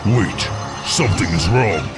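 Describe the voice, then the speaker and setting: A man playing a loyal, dutiful orc underling in a fantasy game, with a gravelly voice. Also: deep voice, expressive, a little slow. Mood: dutiful alertness. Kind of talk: fantasy game cutscene acting. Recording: music under the voice.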